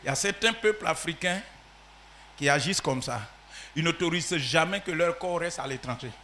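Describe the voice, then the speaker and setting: High bright-voiced preaching man. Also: fairly quick, a little hiss or hum. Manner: preaching with loud shouted peaks and sudden soft asides. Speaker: pastor. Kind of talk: sermon.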